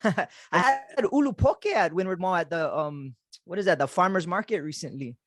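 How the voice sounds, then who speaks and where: A laid-back man with a high voice hosts a relaxed podcast chat, trading quick short reactions. The sound is dry and close, with no room echo. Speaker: podcast host. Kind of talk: casual interview.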